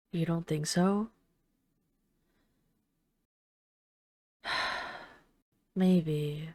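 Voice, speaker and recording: Woman voicing a mellow, low-pitched, ageless vampire woman. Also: dry recording, clean studio sound. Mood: tender. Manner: unhurried, intimate, gently condescending. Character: ageless female vampire, boss's daughter, tender, wistful and commanding. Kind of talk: intimate scripted audio roleplay.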